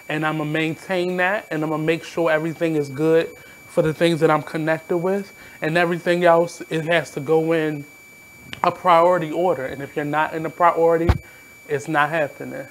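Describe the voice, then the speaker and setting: A man in his thirties from Baltimore with a naturally high voice, hosting his radio show in a candid, blunt, reflective monologue. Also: level tone, normal speed, fairly bright tone, a little hiss or hum, rough recording. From Baltimore, USA. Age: 30s. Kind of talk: casual monologue.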